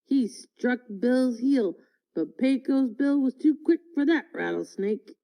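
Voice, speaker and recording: A woman reading a folksy tall tale aloud in an expressive, deliberate storytelling manner. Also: high-pitched, gravelly. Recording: dull, lo-fi audio.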